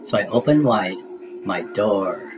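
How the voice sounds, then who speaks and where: A man reading a spooky rhyming children's story aloud in a theatrical, deliberate way, as a storybook narrator. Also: on the low side, lively intonation, noisy background.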